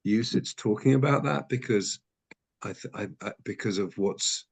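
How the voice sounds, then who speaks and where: A man with a fairly low voice leads an adult study class. He talks in a halting way, full of restarts and self-corrections, as he works through an idea out loud. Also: gravelly, breathy edge. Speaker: class teacher. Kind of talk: teaching a text study class.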